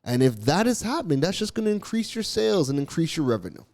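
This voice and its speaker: A man hosting his own podcast, talking straight to his listeners. His voice sits a little higher than most men's, and he drives his points home by repeating them. He sometimes trips over a word before pressing on. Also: very animated, quick pace, darkish tone. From Canada. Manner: emphatic, repeats key phrases, occasional stumbles. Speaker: podcast host. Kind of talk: solo business podcast monologue.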